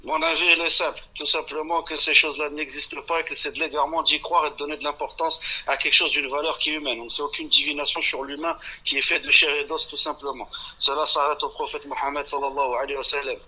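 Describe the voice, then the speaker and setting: A man's voice: fairly high pitch, level tone, quick pace, pushed and bright, slightly rough. A man on the phone as an interview guest, blunt and categorical, cutting in over the host. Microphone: telephone. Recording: muffled phone line.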